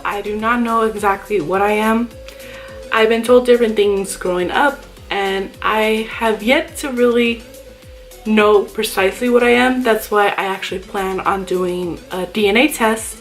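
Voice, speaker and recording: A young woman YouTuber chatting casually in a vlog, rambling and thinking aloud about herself. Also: mid-range voice. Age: young adult. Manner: rambling and self-reflective.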